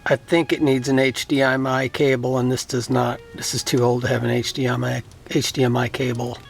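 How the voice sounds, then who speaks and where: A man's voice: medium pitch, flat delivery, a little fast, vocal fry, soft background music. A middle-aged man talks casually and off the cuff as he shows things at home, rambling a little in a matter-of-fact way. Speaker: vlogger showing home electronics. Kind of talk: casual unscripted show-and-tell. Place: indoors at home.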